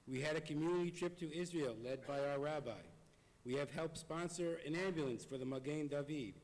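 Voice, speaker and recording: A hoarse man delivering a prepared, earnest appeal to a congregation in a synagogue. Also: a little high, darkish tone, slight background noise. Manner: earnest, measured, reading from notes. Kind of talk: prepared speech. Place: synagogue sanctuary. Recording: long hall reverb.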